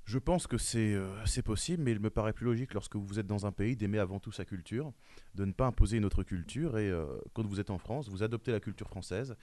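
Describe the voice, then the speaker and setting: Insistent young male debater, rapid delivery, dry close sound. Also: fairly low pitch, mellow, dry recording. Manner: insistent, rising when challenged. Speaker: debate guest. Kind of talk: heated debate.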